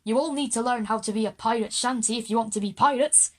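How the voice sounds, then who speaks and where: A woman's voice: fairly high pitch, quick pace, lightly acted. A woman playing an egotistical, bossy male pirate general in a kids' audio drama, with a pompous, theatrical manner.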